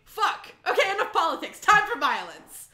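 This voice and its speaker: A woman's voice: very high voice, very animated, moderate pace, sharp tone, roomy sound, full character voice. A woman voicing an indignant, blustering father and his rowdy sons in a comic storytelling.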